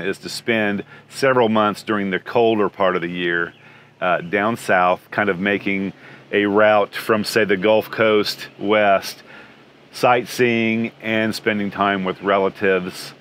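A man's voice: fairly low pitch, fairly bright tone. A man in his sixties, interviewed outdoors beside a travel trailer, answering in a friendly, rambling way with many restarts.

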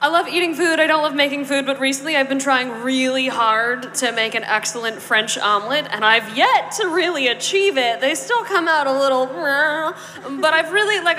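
Female panelist, bright high register, informal convention talk, echoey hall. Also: moderate pace. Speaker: panelist, video game voice actor. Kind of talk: casual convention panel chat. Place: on stage in a large hall at a convention. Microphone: handheld microphone.

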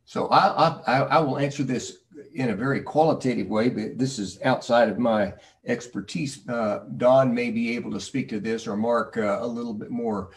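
A male expert gives careful technical answers in a halting manner. His voice is mid-pitched and comes from a little way off the mic on a video call. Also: fairly even intonation, recorded from a distance. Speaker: expert panelist with a doctorate. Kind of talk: technical explanation answering questions.

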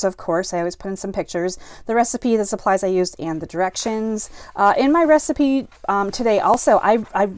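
A middle-aged woman teaching a live card-making class from her craft room, chatting and greeting viewers as she demonstrates, feeling a bit foggy and slow today. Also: mid-range voice, darkish tone, no echo.

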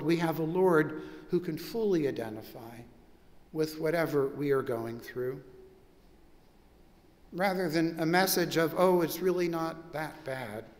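Older pastor preaching slowly in a reverberant church. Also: male voice, fairly high pitch. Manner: measured, reflective preaching with drawn-out pauses. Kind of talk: sermon. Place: large church sanctuary with stone wall.

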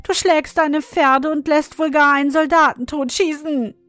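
A woman's voice: steady tone, normal speed, a bit bright, no echo, clean studio sound, soft background music. A woman voicing a tender little girl who cries and pleads to protect her toy. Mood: tearful pleading. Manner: crying, high and imploring.

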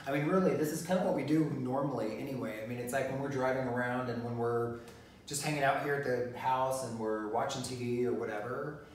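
A man's voice: mid-range voice, fast, far from the mic, slight background noise. A middle-aged man co-hosting a casual chat, rambling with lots of fillers and restarts. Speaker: co-host. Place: living room, seated on a leather sofa. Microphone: phone camera from across the room. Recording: very echoey room, voice off-mic.

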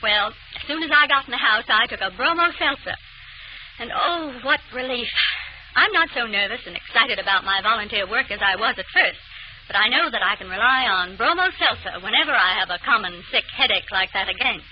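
An actress playing an earnest housewife giving a testimonial in a vintage radio advertisement. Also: female voice, fairly high pitch, lively intonation, a little fast, lightly acted. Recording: dull, noisy old radio recording.

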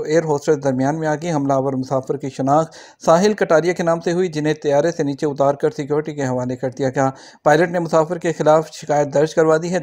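A man's voice: on the high side, darkish tone. A man reading scripted news items as a narrator for an online channel, in a formal manner.

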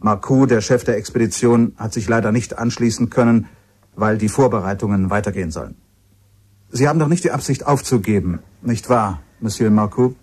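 Low-voiced grave radio reporter. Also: male voice, darkish tone, breathy edge. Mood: solemn. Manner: measured, solemn. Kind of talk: dramatic radio play reporting.

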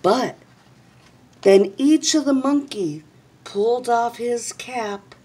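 A woman reads a picture book to children in a fairly high, husky voice. She takes her time, stretching words out and pausing for drama. Her storytelling is expressive and deliberate. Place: small indoor room.

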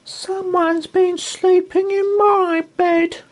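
A man voicing a tiny, squeaky, tearful baby bear boy in a storybook read-aloud. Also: little pitch movement, takes it slowly. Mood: squeaky, upset.

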